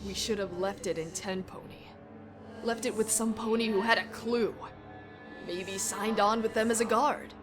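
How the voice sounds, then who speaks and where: A woman's voice: medium pitch, fairly even intonation, normal speed, lightly acted. A woman voicing an evil mirror-self cyborg pony mare in a story reading, mocking and savage, ranting with profanity. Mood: bitter, sneering. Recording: music bed behind the voice.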